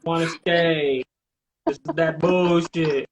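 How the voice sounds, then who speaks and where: Man from New Jersey, podcast host, slightly high voice, loose rambling delivery full of swearing. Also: steady tone, slow, slight background noise. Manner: profane, rambling, streetwise talk. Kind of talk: casual live podcast chat.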